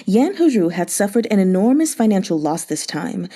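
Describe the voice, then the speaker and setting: A woman reading a novel aloud for a read-along, in a steady, measured voice. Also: mid-range voice. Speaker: audiobook reader.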